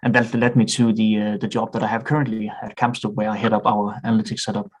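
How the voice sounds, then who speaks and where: Danish man, mid-career podcast guest, monotone, halting and considered. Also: lowish voice. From Denmark. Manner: thoughtful, frequent hesitations and restarts. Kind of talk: podcast interview.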